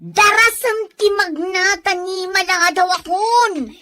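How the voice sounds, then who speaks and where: A woman playing a cruel, bossy older sister in her teens in a radio drama, shrill, with an Ilocano Filipino accent. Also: takes it slowly, bright, forceful tone, exaggerated voice. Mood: angry, bullying.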